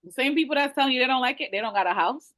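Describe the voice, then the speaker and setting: A woman with a Jamaican accent, a realtor talking on a webinar panel over a video call in a blunt, animated, colloquial way. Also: high-pitched, rapid-fire, no room sound, studio quality.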